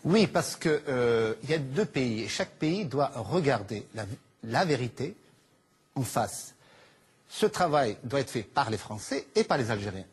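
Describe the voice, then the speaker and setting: A man's voice: mid-range voice, big pitch swings, a little slow, husky. A man of Algerian origin, an author answering interview questions earnestly, with hesitant starts and repeated words. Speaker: author guest. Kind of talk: studio interview.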